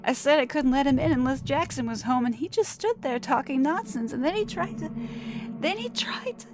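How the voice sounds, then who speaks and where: A woman voicing a frightened, tearful country wife who talks haltingly in a panic, in a narrated horror story. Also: high-pitched, lively intonation, over music, voice pushed up. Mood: panicked, tearful. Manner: panicky, halting, repeating herself.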